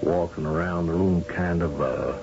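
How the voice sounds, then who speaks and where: An actor playing a shrewd, folksy, commanding space colony governor in an old-time radio drama, with hesitant asides. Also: male voice, low voice, steady tone, moderate pace, vocal fry. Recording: muffled radio drama recording, music, noise.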